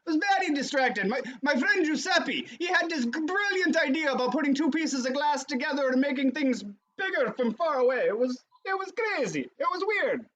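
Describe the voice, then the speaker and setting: A woman's voice: sits high, lively intonation, normal speed, hardly any pauses, a bit bright, lo-fi sound, a little hiss or hum. A woman playing a distracted stargazing contestant in improv comedy, with a wobbly put-on accent and a scattered, giggly manner. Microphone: home video call.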